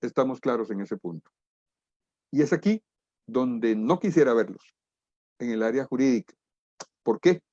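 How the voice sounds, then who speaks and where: A middle-aged Guatemalan man lectures in a deliberate, emphatic way, as if leading an online seminar. His pitch sits in the middle for a man. The sound is close and dry, with slightly muffled, lo-fi webinar audio. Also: expressive, warm tone, dry recording. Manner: deliberate, emphatic, rhetorical questions. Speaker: international trade lecturer and expert.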